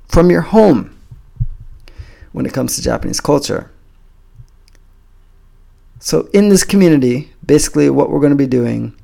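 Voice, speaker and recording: A man from the United States with an American accent, giving an earnest, measured, scripted pitch for his community program. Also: gravelly, darkish tone. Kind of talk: scripted promotional talk to camera. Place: home in a Japanese coastal town.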